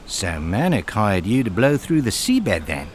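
An actor playing a friendly, gossipy working-class smuggler with a matey, chatty way of talking, in a radio drama. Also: male voice, lowish voice, sing-song, a little slow, slight background noise. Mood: matey, wry.

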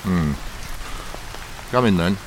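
A man acting a gruff, secretive captain in a radio thriller, with brusque, probing questions. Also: sits low, expressive, takes it slowly. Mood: suspicious, curt. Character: gruff, secretive old mine-boss captain, shady employer. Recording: noisy radio drama with rain sounds.

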